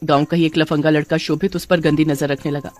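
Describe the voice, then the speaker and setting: A woman's voice: low-pitched, flat delivery, fairly quick, darkish tone, faint music behind. A woman narrator reading a scripted cartoon story.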